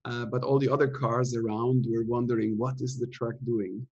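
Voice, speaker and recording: A man in his forties talks over a laptop webcam from a room at home. He explains things loosely and keeps pausing on ums and uhs, in a voice of middle pitch. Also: highs cut off, noisy background. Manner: relaxed, explaining with many fillers. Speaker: theatre director giving an artist talk. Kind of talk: casual online talk. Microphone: laptop webcam video call.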